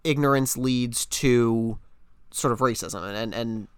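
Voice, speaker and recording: A man's voice: medium pitch, unhurried, clear, resonant tone, dry recording, studio quality. A young adult man co-hosting a casual podcast, a little sick, talking things through earnestly with frequent restarts. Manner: earnest, thinking aloud with restarts. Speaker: podcast host.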